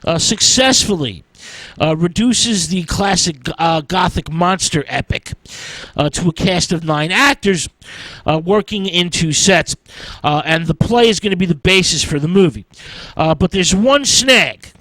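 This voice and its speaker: A man with a noticeably high-pitched voice presents like a history show host. He speaks in short, halting chunks, informally lecturing as if from notes. The sound is close and dry, with very little room in it. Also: expressive, sharp tone, no echo, low-quality recording. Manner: halting, choppy delivery in short phrases.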